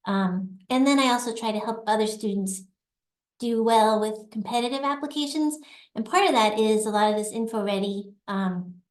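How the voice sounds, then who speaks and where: A woman's voice: on the high side, normal speed, echoey room. A middle-aged woman, a university dean, chatting through her answers, laughing easily and correcting herself as she goes. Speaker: assistant dean of a graduate school, guest. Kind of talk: conversational interview answers.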